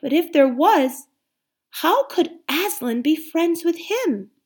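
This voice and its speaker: A woman reading aloud, voicing a very young, timid male lamb with a high, thin, pleading voice. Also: expressive, takes it slowly, darkish tone. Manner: pleading, hesitant.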